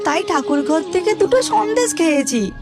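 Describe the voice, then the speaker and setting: Woman acting a small cartoon village boy, extremely high voice, earnest, plaintive, pleading, background music. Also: lively intonation, normal speed. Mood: plaintive, defensive. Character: poor, earnest, suffering young village boy.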